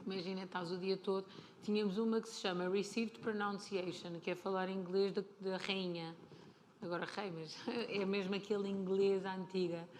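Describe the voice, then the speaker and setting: A woman with a European Portuguese accent talks as an interview guest at a convention. She is chatty and informal, explaining as she goes, in a mid-pitched voice. Clear event noise runs behind her. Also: level tone, normal speed, darkish tone. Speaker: interview guest, film and television producer. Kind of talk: casual live on-stage conversation. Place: live stage at a comic convention.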